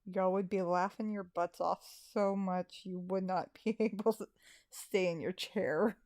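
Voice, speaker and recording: A woman's voice: mid-range voice, steady tone, a little slow, a little mellow, no echo, studio quality. A tired woman chatting casually as she hosts a live stream, using mild, folksy exclamations. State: a little tired. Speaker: live stream host and amateur voice actor.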